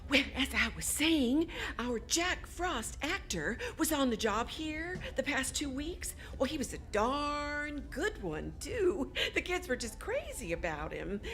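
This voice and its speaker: A woman playing a harried, excitable department store manager, flustered and chatty, in a live radio drama. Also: high-pitched, slow, heavily acted. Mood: worried.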